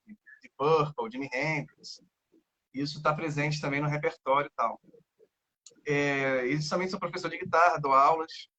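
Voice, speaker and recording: A man in his thirties with a Carioca accent talks casually as a guest, rambling and restarting his sentences. His voice sits a little high and sounds bright and forceful. The audio is dull and lo-fi. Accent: Carioca Brazilian Portuguese. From Rio de Janeiro, Brazil. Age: 30s. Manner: rambling, many fillers and restarts. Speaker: guest guitarist. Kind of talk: casual interview chat.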